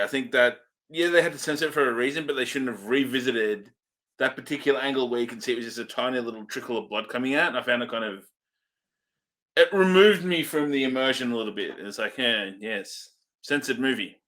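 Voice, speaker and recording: A man's voice: medium pitch, fairly bright tone. A man co-hosting a movie review show, giving his opinions in casual conversation.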